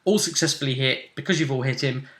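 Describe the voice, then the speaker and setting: A man working as game master, casually recapping a tabletop role-playing session with animated, emphatic storytelling in a roomy space. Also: mid-range voice, quick pace. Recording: roomy, echoey sound.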